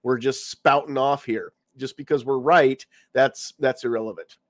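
A man's voice: medium pitch, fairly even intonation, moderate pace, dry recording, studio quality. A man hosting a casual live podcast, congested from a cold and talking around a lozenge in his mouth. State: congested, getting over a cold. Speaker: podcast host. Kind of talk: casual live-stream chat.

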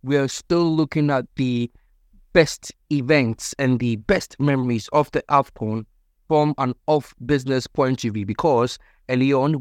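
A man's voice: medium pitch, no echo, clean studio sound. A man hosting a sports business podcast, introducing his guest and asking questions in an upbeat, formal way. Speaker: podcast host.

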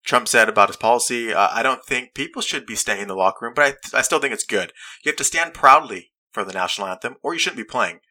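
A young man with a bright, forceful voice hosts a casual chat. He argues his side earnestly and stops to read quotes aloud. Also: medium pitch, fast, hardly any pauses. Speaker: podcast host.

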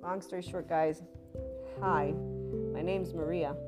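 Woman host, slightly rough low voice, chatty and tangent-prone, over loud music. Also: steady tone, measured pace. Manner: rambling, chatty, with asides. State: slightly rough, deeper voice than usual. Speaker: spiritual podcast host. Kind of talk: casual monologue.

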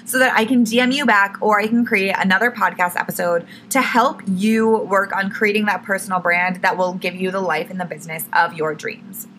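A young woman hosting her own podcast, talking casually and correcting herself often, a little hoarse as she starts to lose her voice. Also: mid-range voice, fairly even intonation, brisk, hardly any pauses, fairly bright tone, slight background noise, rough recording. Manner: chatty, self-correcting, says "like" and "literally" a lot. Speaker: podcast host. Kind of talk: casual solo podcast tips.

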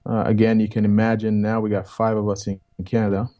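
A man in his fifties from Jamaica, with a Jamaican accent, telling his life story as an interview guest in a rambling, relaxed way, repeating words and saying "man". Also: fairly low pitch, fast, highs cut off. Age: 50s.

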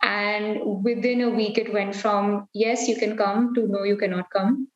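A young woman from India, a PhD student, answering interview questions thoughtfully, pausing and hedging with fillers. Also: mid-range voice, fairly even intonation, dull audio. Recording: echoey room.

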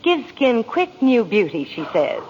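A woman delivers scripted commercial chat in 1940s radio style. Her voice is slightly rough and very animated in pitch. The old recording is dull. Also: measured pace, long reverb tail. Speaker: commercial spokeswoman.

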